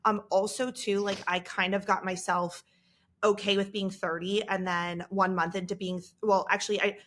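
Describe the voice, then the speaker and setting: A woman's voice: mid-range voice, little pitch movement, moderate pace, a bit bright, a little hiss or hum. Candid woman in her early thirties, podcast host. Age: 30s. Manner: candid, storytelling, self-deprecating. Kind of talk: casual chat.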